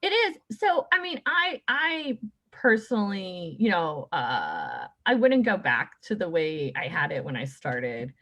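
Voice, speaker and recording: A woman in her late thirties hosting a podcast, talking in a chatty, animated way with many fillers. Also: fairly low pitch, a little slow. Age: late 30s. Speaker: podcast host. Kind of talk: casual chat.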